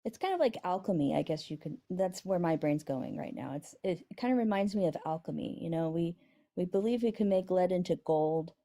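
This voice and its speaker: A woman in her forties whose voice is high-pitched but mellow and warm. She takes part in a casual debate as a caller, answering hesitantly and often doubting herself. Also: expressive, brisk. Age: 40s.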